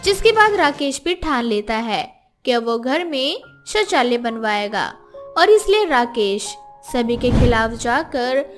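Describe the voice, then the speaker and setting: A woman's voice: fairly high pitch, big pitch swings, slow pace, soft background music. A woman reading scripted story narration as the narrator.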